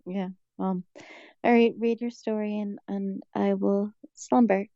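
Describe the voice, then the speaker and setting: A woman in casual bedtime chat on a call, giving short, deadpan, drowsy replies. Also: medium pitch, unhurried, dry recording. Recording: dull lo-fi call audio.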